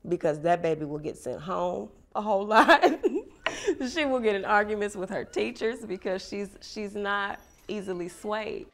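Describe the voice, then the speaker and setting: A woman's voice: medium pitch, moderate pace, fairly bright tone. A woman in her forties, a homeschooling parent, speaking emphatically in an interview.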